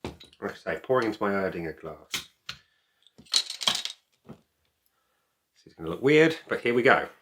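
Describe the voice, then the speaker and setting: A man's voice: fairly low pitch, very animated, a little slow, pauses often, a bit bright, a little hiss or hum. A man talking casually to camera as a beer reviewer, chatty, opinionated and emphatic. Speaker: beer reviewer and video host.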